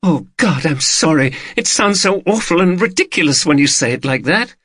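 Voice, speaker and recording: A man's voice: a little high, animated, normal speed, slightly rough, no echo, studio quality, voice pushed up. A man voicing a frightened, tearful woman who anxiously questions her partner. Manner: anxious, pleading, rising to shouts.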